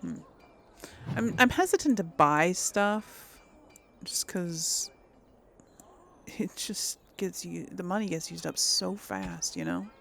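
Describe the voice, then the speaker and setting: A woman's voice: fairly low pitch, sing-song, slow, vocal fry, fairly bright tone. A woman giving casual role-play game commentary, hesitant and thinking aloud as she goes. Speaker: gaming video host.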